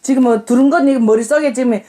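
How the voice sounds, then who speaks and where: A woman's voice: sits high, fast, mellow. An older woman with a North Korean accent, chatting casually with her daughter, laughing and exclaiming often. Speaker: singer's mother, guest. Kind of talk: casual chat.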